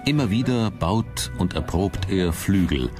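A man narrating a documentary from a script in a measured, dramatic storytelling manner. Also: sits low, animated. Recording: music under the narration. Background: background music.

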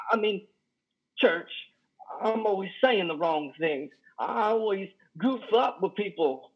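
An actor in his thirties playing a lonely, awkward trucker in a play reading, halting, stammering and folksy. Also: high-pitched, breathy edge, exaggerated voice. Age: 30s. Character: lonely, awkward, self-deprecating trucker, plain-spoken and folksy. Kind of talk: play reading over video call. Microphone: headset on a video call. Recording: dull video-call audio.

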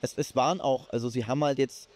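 A man's voice: medium pitch, steady tone, fast talker, darkish tone. A man co-hosting a live games podcast panel, talking casually with many fillers and restarts. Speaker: podcast co-host. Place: live event stage.

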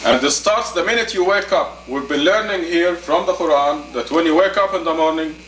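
A man in his fifties giving a sermon as an imam, deliberate and emphatic, in short phrases and rhetorical questions. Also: a little high, a bit bright. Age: 50s. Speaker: imam, preacher. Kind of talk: sermon, teaching plainly to a congregation. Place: indoor meeting room. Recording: roomy, distant camcorder audio with faint noise.

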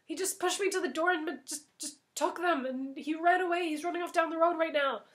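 A woman voicing a sly, greedy young cook who talks breezily and turns shrill when she lies. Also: animated, fairly quick, close to an everyday voice. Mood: frantic.

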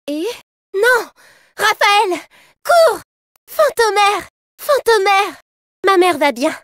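A woman playing a gentle, earnest young girl in a video game, with a soft, sincere and expressive delivery. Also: high voice, no room sound, clean studio sound. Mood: gentle, concerned, then alarmed, pleading, then hopeful, earnest.

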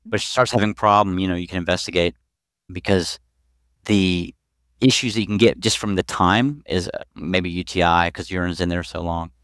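He is a man in his forties with a low, resonant voice. He talks like a doctor on a podcast, informally walking through his subject with everyday examples. The headset sound is close and dry. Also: fairly quick, fairly bright tone, smooth, no echo. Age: 40s. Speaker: pediatric urologist, podcast guest. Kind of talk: conversational expert explanation. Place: small clinic office, video call. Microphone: headset boom mic.

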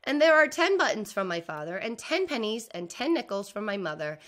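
A woman's voice: mid-range voice, very animated, fairly quick, a bit bright. A woman reading the part of an eager, slightly anxious little schoolgirl in a storybook, listing things earnestly. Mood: earnest, hopeful.

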